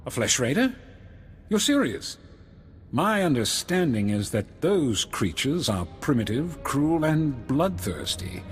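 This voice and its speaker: Formal, expressive master in reverberant hall. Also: male voice, medium pitch, husky, full character voice. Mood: reserved, skeptical. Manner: formal, measured. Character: stern, reserved male master of a mystic knightly order. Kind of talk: video game character acting. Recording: long hall reverb, music behind.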